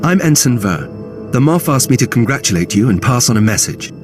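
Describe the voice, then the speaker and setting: A dutiful junior Imperial officer in a video game played by a man. His delivery is formal and eager to please, swinging widely in pitch. Music is clearly present behind him. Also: sing-song, brisk, lightly acted. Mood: deferential. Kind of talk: video game character acting.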